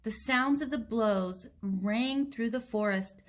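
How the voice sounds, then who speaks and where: A woman reading a picture book aloud to children, with gentle, simple explanations along the way. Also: fairly high pitch, slow. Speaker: children's story reader.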